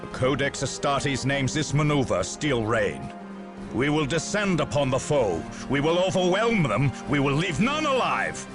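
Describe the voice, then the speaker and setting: An actor playing a proud, zealous Space Marine commander in a video game, giving solemn, grandiose battle oratory with dramatic pauses. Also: male voice, mid-range voice, very animated, music in the background. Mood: rousing, resolute. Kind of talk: video game cutscene acting.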